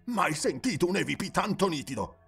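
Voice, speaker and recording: A man's voice: on the high side, very animated, fairly quick, vocal fry, fairly bright tone, breathy edge, far from the mic, soft background music, pitched above the performer's own voice, full character voice. A man voicing an impressionable young ghost hunter in an audio story, blunt and enthusiastic in his banter. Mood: impressed.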